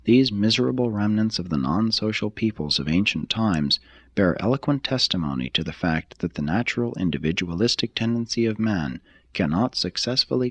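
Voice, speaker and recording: A man reading a formal text aloud as scripted narration, in a measured, deliberate manner. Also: low-pitched, slightly rough, no room sound. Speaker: audiobook reader.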